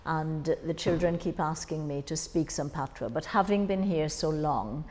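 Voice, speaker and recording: A woman's voice: sits low, normal speed, darkish tone. An older woman in her sixties with a Jamaican accent, reminiscing in an oral history interview. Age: 60s. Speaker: interviewee, former overseas student nurse. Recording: echoey hall, faint background noise.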